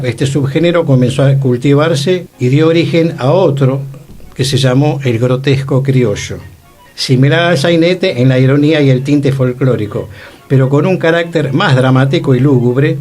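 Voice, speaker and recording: A man with a Rioplatense Argentine accent hosts an informative radio talk in a mid-pitched voice. His wording is scholarly and didactic, and music plays behind him. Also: moderate pace, darkish tone. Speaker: radio show host.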